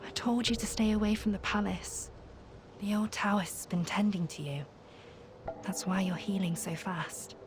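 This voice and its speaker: A woman's voice: medium pitch, steady tone, unhurried, slight background noise, lightly acted. A woman playing a stern but caring companion in a video game, speaking in a direct, admonishing manner. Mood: stern concern. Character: stern, watchful female companion, scolding yet caring. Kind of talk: dramatic video game cutscene acting.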